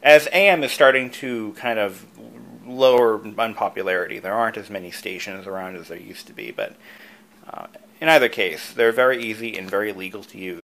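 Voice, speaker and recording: A man with a fairly low-pitched voice narrates a hobbyist how-to. He talks informally, like a host walking viewers through a project. His explanations are plain and conversational, with casual asides along the way. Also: fairly quick, fairly bright tone, rough recording. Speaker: video creator and host, antique radio hobbyist.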